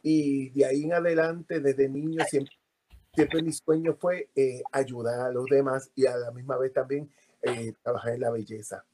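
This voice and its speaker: A Puerto Rican man, a podcast guest, telling animated stories about his life with frequent fillers. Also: mid-range voice, normal speed, noisy background. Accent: Puerto Rican Spanish. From Puerto Rico. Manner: animated storytelling with many fillers. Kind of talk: casual interview.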